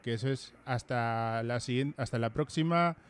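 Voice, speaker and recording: Man, thirties, halting chatty podcast host, fillers and restarts, close studio mic in a small dim room. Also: medium pitch, clean tone. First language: Basque. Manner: hesitant, many fillers and restarts. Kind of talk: casual video-call chat. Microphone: headphones and studio mic.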